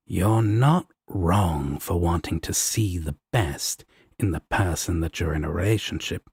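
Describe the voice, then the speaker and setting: A deep-voiced devil roommate acted by a man in a comfort roleplay, sardonic but protective and fond. His husky drawl is slow and swings widely in pitch as he talks bluntly and coaxingly. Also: a little mellow, dry recording, clean studio sound, heavily acted. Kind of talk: romantic comfort audio roleplay.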